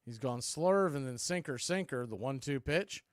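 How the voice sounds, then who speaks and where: A man's voice: medium pitch, lively intonation, normal speed, no room sound, clean studio sound. A man calling live baseball play-by-play for radio in clipped, punchy phrases. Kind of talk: live radio sports commentary.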